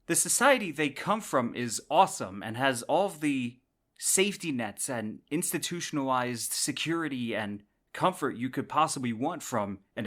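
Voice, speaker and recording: Guy doing podcast explainer stuff, medium pitch, chatty but punches his points. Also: fairly bright tone. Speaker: podcast host. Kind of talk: semi-scripted explainer commentary.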